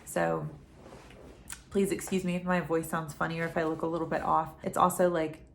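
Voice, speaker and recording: Hushed, slightly sick woman vlogger. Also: low voice, flat delivery, fairly quick, vocal fry, a little hiss or hum. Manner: talking quietly at night; chatty, rambling, with frequent ums. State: getting over a head cold, dry throat. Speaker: fragrance reviewer. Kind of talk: casual vlog talk to camera. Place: home at night.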